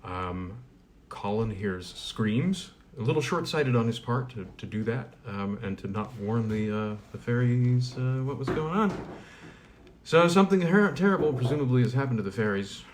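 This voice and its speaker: A man with a middle-pitched voice reads an old fairy tale aloud. His pitch moves a lot, rising and falling with the story. Between chapters he chats loosely and fills gaps with ums. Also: animated, a little hiss or hum. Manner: chatty with fillers in recap, measured when reading. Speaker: reader. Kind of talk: casual recap, then reading a fairy tale aloud.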